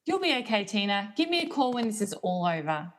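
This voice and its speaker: A woman playing a sensible, reassuring mother and friend in a radio play, brisk and casual. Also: medium pitch, big pitch swings, natural voice. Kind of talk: amateur radio-play acting.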